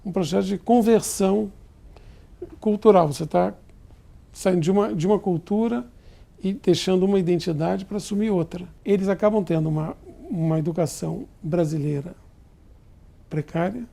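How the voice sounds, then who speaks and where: A middle-aged man, a diplomat, answering questions in a formal television interview in a measured, deliberate way. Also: high voice, vocal fry, warm tone. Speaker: Brazilian diplomat in Tokyo.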